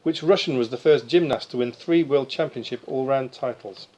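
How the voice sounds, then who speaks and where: A man with a mid-pitched voice reads out quiz questions to a group of contestants. He is the quiz master at a live event, recorded with faint noise behind him. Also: moderate pace, muffled recording.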